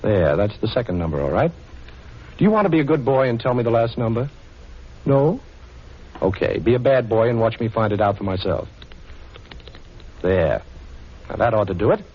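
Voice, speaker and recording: A man's voice: fairly low pitch, animated, moderate pace, long pauses, slightly rough. A man acting a cool, wisecracking amateur sleuth in a 1940s radio drama, breezy, teasing and commanding, with an American accent. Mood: teasing, confident. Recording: muffled 1940s radio broadcast recording.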